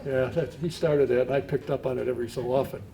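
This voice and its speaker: A man's voice: medium pitch, steady tone, quick pace. A man hosting a radio show who interviews his guests chattily and chuckles often. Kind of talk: casual interview. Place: pub. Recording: distant mic in a noisy pub.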